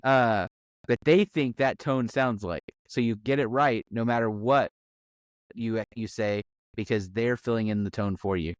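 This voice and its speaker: A man's voice: medium pitch, measured pace, dry recording. A man giving advice in a casual discussion, explaining step by step and checking in as he goes. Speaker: discussion panelist on a game master advice show.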